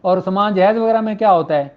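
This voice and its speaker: Pakistani guy teaching law, kinda high voice, chatty explainer style, muffled lo-fi audio. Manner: conversational, poses rhetorical questions, acts out courtroom exchanges; switches between Urdu and slowly read English legal text. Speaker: law lecturer. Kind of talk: informal lecture, explaining law with examples.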